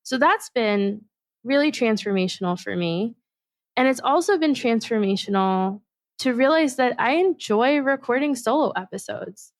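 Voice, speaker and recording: A woman hosting her own podcast, talking alone in a thoughtful, measured and personal way. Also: on the high side, animated, dry recording. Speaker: podcast host and facilitator. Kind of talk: reflective solo podcast monologue.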